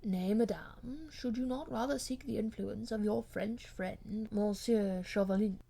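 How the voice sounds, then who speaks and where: Lady doing a proud courteous gentleman in a storybook, measured and formal, cool but gentle. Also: medium pitch, vocal fry, husky. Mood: cool courtesy. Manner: formal, gallant, measured, cold yet gentle. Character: proud, restrained English gentleman, coolly courteous to his lady.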